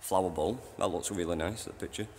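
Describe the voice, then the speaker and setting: A man who cleans a train station, giving a casual walking tour on his phone, chatty and rambling, with a deep voice. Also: fairly quick, darkish tone, slight background noise. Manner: chatty, rambling, says "you know what I mean". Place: old train station toilets and platforms at night. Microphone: handheld phone.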